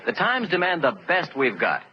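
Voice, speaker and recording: An American man delivering an emphatic sales pitch as a 1940s radio commercial announcer. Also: wildly swooping pitch, normal speed. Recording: dull, faintly noisy 1940s radio recording.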